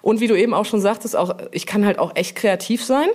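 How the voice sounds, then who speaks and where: A woman talks casually as the guest in an interview. Her voice sits at a middling pitch, and her wording is colloquial and chatty, like relaxed shop talk about her job. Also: quick pace. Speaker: interview guest, publishing brand manager.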